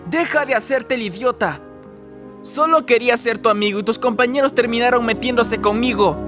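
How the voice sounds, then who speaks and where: Man acting a very high-voiced angry teenage boy. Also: normal speed, over music. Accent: Latin American Spanish. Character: hot-tempered, hurt teenage boy. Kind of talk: fan comic dub.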